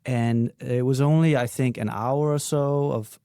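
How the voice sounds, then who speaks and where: A man with a mellow, relaxed tone and an average pitch, in a dry recording. He talks casually as part of a podcast panel, explaining as he goes. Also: a little slow, clean tone, dry recording.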